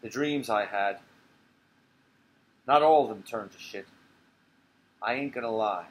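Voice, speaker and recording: A man's voice: on the low side, a little slow, voice pushed down, close to an everyday voice, rough recording. A man reading a stage play, voicing a tired, streetwise old bar regular in short, blunt, profane phrases. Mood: weary, defiant. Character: tired, profane, streetwise old male barfly rambling to strangers. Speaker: playwright reading his own play. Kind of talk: dramatic monologue reading.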